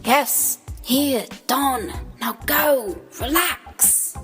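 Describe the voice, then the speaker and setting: Protective female robot bodyguard woman acting, high voice, curt and bossy comic-dub delivery over music. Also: drawn-out words, a bit bright, exaggerated voice. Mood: impatient. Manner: blunt, bossy. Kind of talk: amateur comic dub dialogue. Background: clear music bed.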